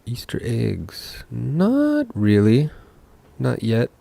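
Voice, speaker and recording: A young man from Seattle, a game developer talking casually while coding live, thinking aloud with many pauses. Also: mid-range voice, huge pitch swings, gravelly, mellow. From Seattle, USA.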